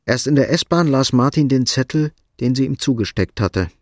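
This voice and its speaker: A man narrating a radio drama in a measured, understated, literary style. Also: mid-range voice, lively intonation.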